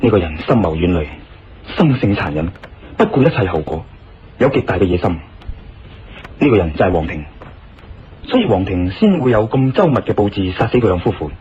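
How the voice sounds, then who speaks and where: An actor playing a thoughtful, curious male investigator in a Cantonese audio drama, with a Hong Kong accent and expressive, earnest delivery. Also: low voice, brisk, pauses often, a little hiss or hum. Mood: grave, emphatic. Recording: slightly muffled radio drama, music underneath.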